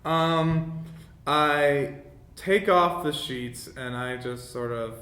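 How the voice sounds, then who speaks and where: A man's voice: fairly even intonation, very slow, sharp tone. A man playing a tabletop role-playing game, talking casually in a hesitant, polite way. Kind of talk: casual in-character and descriptive game play. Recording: echoey room with faint rumbling noise.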